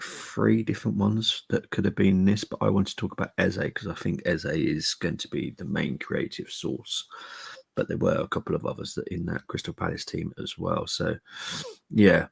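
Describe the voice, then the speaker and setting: A middle-aged man hosting a live football preview stream, speaking quietly while chatting casually with viewers. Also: deep voice, fairly even intonation, moderate pace, no room sound. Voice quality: gravelly. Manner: keeping voice down, casual stats chat with viewers. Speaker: fan channel host.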